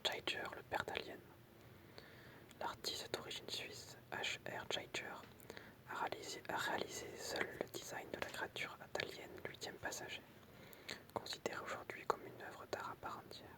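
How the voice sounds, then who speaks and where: A person whispering in an ASMR style, reading out short pieces in a soft, explanatory way with casual asides. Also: normal speed. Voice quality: unvoiced, breathy whisper. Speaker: ASMR creator reading film trivia with commentary.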